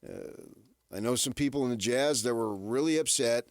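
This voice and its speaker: A man hosting sports talk radio, casually musing in halting, repeated phrases for emphasis. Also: mid-range voice, no echo, studio quality.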